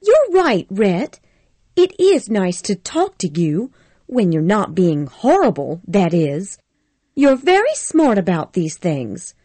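Woman doing a high fretful Southern belle. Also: very animated, slow, darkish tone, dry recording, full character voice. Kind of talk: storybook reading.

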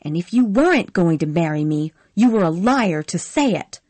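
Woman playing a hot-headed young girl, high and loud, snapping furiously. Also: expressive, moderate pace, husky, no room sound. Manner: sharp, furious, shouting. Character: fiery young woman, hot-tempered and proud. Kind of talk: audiobook character reading.

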